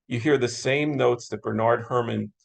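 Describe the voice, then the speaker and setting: A man's voice: medium pitch, moderate pace, gravelly. An American man hosting a casual interview, chatting with eager enthusiasm and agreeing readily. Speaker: interviewer, moderator. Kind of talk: casual interview chat about classic radio.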